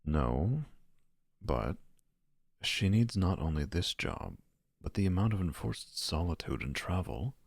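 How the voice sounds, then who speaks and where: A gentle younger brother with a poet's soul in an audio drama. His voice is extremely deep and lively in its movement, with a crackling gravel to it. He speaks hesitantly and tenderly, choosing poetic words. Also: measured pace, heavily acted. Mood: flustered. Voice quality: gravelly, husky. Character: gentle lovestruck poet, younger brother, patient and evasive.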